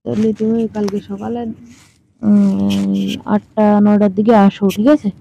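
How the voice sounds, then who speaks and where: A woman's voice: medium pitch, flat delivery, slow, vocal fry, mellow, a little hiss or hum. A woman in a casual, teasing, colloquial phone chat. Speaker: caller in a staged phone conversation. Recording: muffled lo-fi phone call recording.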